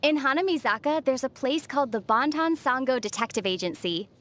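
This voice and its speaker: High-voiced young traveler heroine. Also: female voice, animated, a little fast, fairly bright tone. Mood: calm, explanatory. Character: young female traveler heroine, calm, sensible, gently teasing. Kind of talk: scripted video game dialogue.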